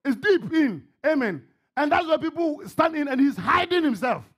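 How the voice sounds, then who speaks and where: A middle-aged man with a Ghanaian accent and a husky voice preaches emphatically in short phrases, often shouting and repeating questions to his congregation. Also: extremely high pitch, big pitch swings, moderate pace. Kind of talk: fervent, call-and-response sermon with pauses for interpreter. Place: church hall, on stage before a congregation.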